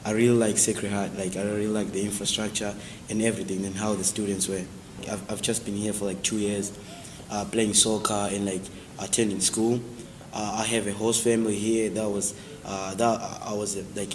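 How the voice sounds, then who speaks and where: Halting young Zimbabwean man in echoey hall. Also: fairly low pitch, flat delivery, slight background noise. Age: young adult. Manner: halting, repeats "like" constantly. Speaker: student athlete interviewee. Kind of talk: casual interview answers.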